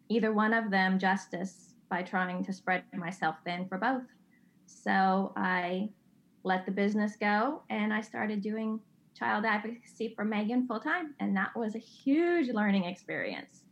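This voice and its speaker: A woman's voice: mid-range voice, slow. Earnest middle-aged woman, slightly muffled. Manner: earnest, confessional, with frequent restarts. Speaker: guest, designer and child advocate. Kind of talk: candid conversational interview. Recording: slightly dull lo-fi sound.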